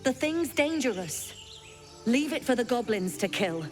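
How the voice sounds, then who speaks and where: A woman's voice: on the high side, very animated, measured pace, soft background music. A woman playing a hard, suspicious character in a fantasy video game, giving curt orders. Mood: hostile, wary. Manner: curt, imperative. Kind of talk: video game dialogue acting.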